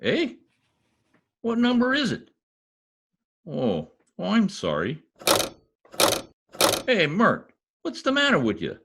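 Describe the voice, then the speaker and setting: A man's voice: high-pitched, huge pitch swings, slow pace, gravelly, long pauses, background noise, heavily acted. A man playing a blustering, stubborn small-town husband in folksy, slangy old-time radio comedy style. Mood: chatty, exasperated.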